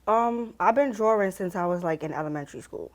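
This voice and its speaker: A woman's voice: medium pitch, fairly quick. A young woman from the Bronx, a laid-back, slangy artist answering interview questions. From the Bronx, New York. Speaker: artist, guest.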